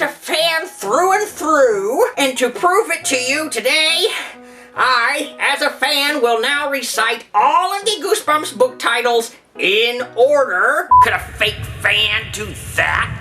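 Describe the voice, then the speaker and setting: A man's voice: very high-pitched, pushed and bright, distant mic, voice pushed up, exaggerated voice, poor audio. A man voicing an eager, boastful goose superfan who insists he is a true fan. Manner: boastful, eager to prove himself.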